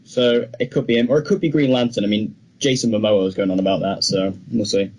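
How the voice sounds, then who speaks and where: A man's voice: fairly low pitch, fairly even intonation, fast talker, darkish tone. A man co-hosting a podcast, chatting casually and giving his opinions emphatically. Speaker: podcast co-host. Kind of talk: casual film news chat.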